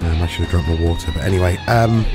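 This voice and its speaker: A hungover man with a gravelly voice and dry throat, rambling through casual, sweary commentary as a gaming reviewer. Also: low voice, quick pace. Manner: rambling, chatty, swears freely. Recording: music playing underneath.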